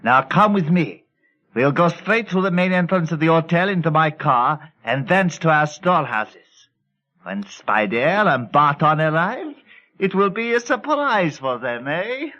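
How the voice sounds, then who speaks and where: A cold little dwarf henchman in an old radio thriller, pitched high with dramatic swoops. He threatens with formal, deliberate courtesy and a touchy pride. The recording is dull and narrow. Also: animated, bright, forceful tone. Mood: smug. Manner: formal, deliberate, menacing politeness. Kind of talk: vintage radio serial acting.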